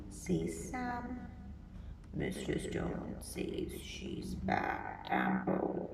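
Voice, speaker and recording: A woman reading a picture book aloud to young children, expressively, pausing to think aloud and ask them simple questions. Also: on the high side, dark tone. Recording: roomy, distant mic, clear background noise.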